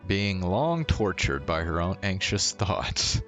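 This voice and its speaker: A man's voice: deep voice, very animated, slow pace, fairly bright tone. A man streaming a video game, chatting casually as he plays and reading the on-screen text aloud. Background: game music.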